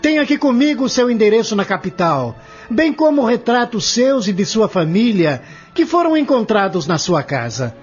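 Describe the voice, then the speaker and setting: A man's voice: sits high, sing-song, normal speed. A man playing a formal, confident, accusing prosecutor in an audio drama, speaking emphatically. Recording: muffled lo-fi audio with music.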